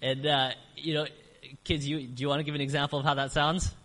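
A middle-aged man preaching a sermon as pastor, earnest, slowing down to stress key phrases. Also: on the high side, level tone, pushed and bright, slight background noise. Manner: earnest, varies emphasis, slows on key phrases. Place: church sanctuary. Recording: long reverb of a church hall.

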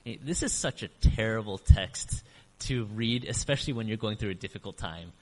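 A man's voice: on the low side, expressive, darkish tone. Earnest male pastor in a reverberant church. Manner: earnest, varies emphasis, slows on key phrases. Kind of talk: sermon. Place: church sanctuary. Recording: long reverb of a church hall.

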